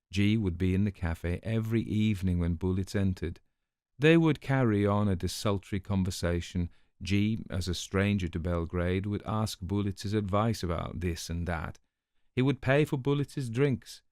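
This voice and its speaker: Sardonic older raconteur for radio drama: low, creaky voice, urbane and precise. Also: male voice, a little mellow, no echo, clean studio sound. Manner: urbane, dryly ironic, precise wording. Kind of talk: wry storytelling in a radio drama.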